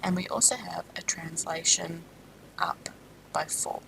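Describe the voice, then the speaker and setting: A woman from Australia talks through a maths lesson, her nose stuffed up from a sinus infection. Her voice sits low with a gravelly edge, and she explains steadily with frequent ums and self-corrections. A rumbling background noise runs under the recording. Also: measured pace. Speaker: maths teacher.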